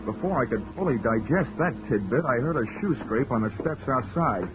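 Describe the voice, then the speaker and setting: An actor playing a hard-boiled insurance investigator, deadpan and wisecracking, in 1940s radio detective style. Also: male voice, mid-range voice, moderate pace, lightly acted. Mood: wry narration. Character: wry, hard-boiled insurance investigator narrating his case. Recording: muffled 1940s radio recording with music.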